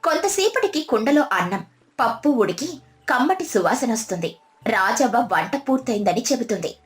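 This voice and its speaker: A woman's voice: on the high side, lively intonation, normal speed. A woman giving scripted narration of a moral story as its narrator.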